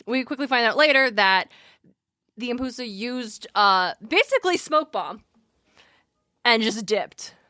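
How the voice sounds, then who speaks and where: A woman's voice: a little high, expressive, unhurried, long pauses, bright, forceful tone, no room sound, clean studio sound. A woman co-hosting a podcast, chatting casually and informally about mythology as she thinks aloud. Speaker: podcast co-host.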